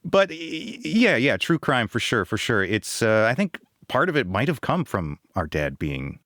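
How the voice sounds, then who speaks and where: A man co-hosting a podcast in casual chat, animated, laughing loudly and trailing off mid-thought. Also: medium pitch, measured pace, dry recording, studio quality. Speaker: podcast co-host.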